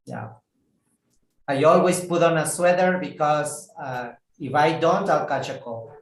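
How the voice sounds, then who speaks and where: A patient male English teacher who talks slowly and deliberately, repeating each phrase for his learners. His voice has a roomy echo around it, and the pace stays unhurried. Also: on the high side. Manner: slow, careful, repeats words for students. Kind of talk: online English lesson. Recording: echoey room.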